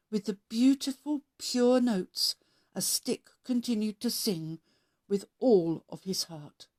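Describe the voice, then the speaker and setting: A woman narrating a children's story as a read-aloud host, expressive and measured in her delivery. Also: medium pitch.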